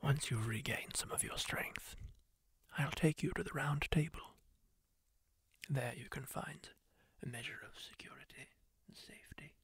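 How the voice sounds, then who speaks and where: A man plays a kindly masked wanderer, tending a wounded stranger in a soft ASMR whisper. The voice is gravelly and breathy, drawn out slowly, yet it lilts up and down with gentle, musing asides. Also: medium pitch, no room sound, exaggerated voice. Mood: soothing. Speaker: ASMR roleplay creator.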